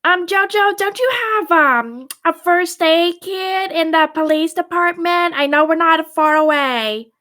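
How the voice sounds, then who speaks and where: A woman's voice: extremely high pitch, unhurried, hardly any pauses, pushed and bright, full character voice. A woman voicing a motherly, bossy character in a tabletop game, polite but firm, speaking in a prim, insistent manner. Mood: concerned.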